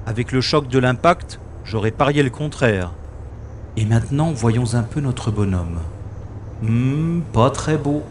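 A man's voice: mid-range voice, expressive, a little slow, a little hiss or hum, close to an everyday voice. A man playing a cool-headed, sardonic special agent in a French-style science-fiction audio drama. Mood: dry, observant. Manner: sardonic, flippant, unflappable.

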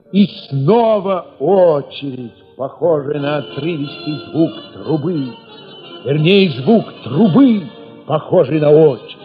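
A man narrating an audio play in the first person, expressive and theatrical, with emphatic pauses. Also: fairly high pitch. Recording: muffled, long reverb, music underneath.

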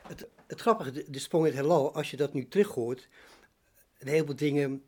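A man's voice: on the high side, fairly quick, mellow, slight background noise. A man interviewing in a casual, chatty way, repeating words and offering his own opinions as he goes.

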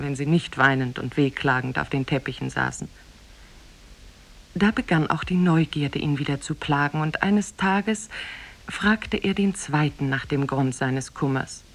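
An actress playing the storyteller of an Arabian Nights tale, narrating formally in a 1950s radio play. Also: female voice, sits low, a bit bright.

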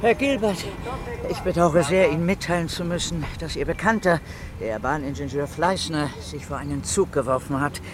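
An actor playing a stiffly formal, polite hotel detective in a satirical radio play. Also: male voice, on the high side, moderate pace, a crowd in the background, close to an everyday voice. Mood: solemn, regretful. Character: formal hotel detective delivering regretful official news. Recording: outdoor street noise, bustle, traffic.

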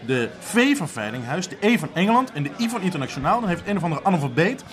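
An actor playing an excitable, sarcastic young man in a naturalistic Dutch radio drama, speaking emphatically. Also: high-pitched, fast talker, soft background music. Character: excitable, sarcastic young man, griping about his job.

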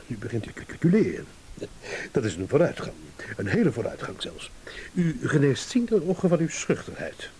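An actor playing a haughty, sardonic gentleman who will not be pushed around, condescending and emphatic, in a radio play. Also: fairly high pitch, huge pitch swings, normal speed. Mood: mocking. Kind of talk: Dutch-language radio play acting. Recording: hissy old radio play recording.

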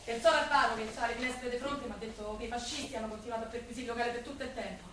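A woman's voice: a little high, racing, lightly acted. An actress playing a blunt, suspicious working-class woman with a Roman accent, in a theatrical stage style. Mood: alarmed. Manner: blunt, direct. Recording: distant echoey stage recording with noise.

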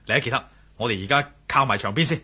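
An actor playing a cool-headed, daring adventurer in a radio drama, confident and wry, with a Hong Kong Cantonese accent. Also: male voice, medium pitch, fairly quick, pushed and bright, a little hiss or hum, natural voice. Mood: calm, commanding.

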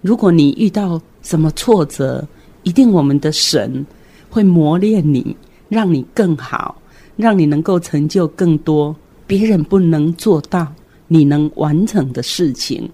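A woman's voice: sits low, lively intonation, measured pace, mellow. An older woman with a Taiwanese Mandarin accent, sharing personal thoughts and good wishes as a guest in an earnest, encouraging way. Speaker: interview guest, retired kindergarten principal and teacher. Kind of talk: personal sharing and well-wishing. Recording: music under the voice. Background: background music.

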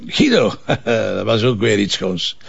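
A Flemish police commissioner in a TV crime drama. His voice is creaky and his talk colloquial, wry and teasing. The sound is slightly dull. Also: fairly low pitch, huge pitch swings, unhurried, a bit bright, heavily acted. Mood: tipsy, playful. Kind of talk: naturalistic TV crime drama dialogue.

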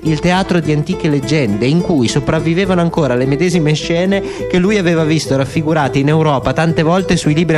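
A man giving a dramatic literary narration in a radio theatre style. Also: a little high. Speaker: narrator, stage actor.

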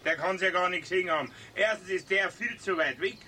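An actor playing a cocky, evasive motorist with a Bavarian accent in a radio play, dismissive and sneering. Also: male voice, sits high, fast, bright, forceful tone, slight background noise. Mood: defiant, sneering. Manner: cocky, dismissive, tags lines with "gell". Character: insolent, evasive male litterbug motorist, sneering. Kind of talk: 1970s German radio play acting.

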